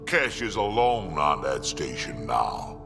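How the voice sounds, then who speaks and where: An actor playing an ancient, blunt alien mercenary and grandfather with a gravelly voice in a video game. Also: a bass voice, sing-song, slow, in a large hall, over music, exaggerated voice. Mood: gruff, distrustful. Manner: blunt, coarse. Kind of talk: video game character dialogue.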